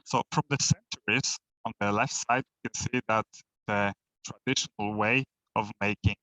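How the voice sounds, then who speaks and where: A man's voice: on the low side, steady tone, bright, forceful tone, dry recording. Polish-born man giving a webinar talk. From Poland. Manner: halting non-native English, frequent uh fillers. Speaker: webinar speaker, bridge designer. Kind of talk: online presentation. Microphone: video call. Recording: crackly voice over video call.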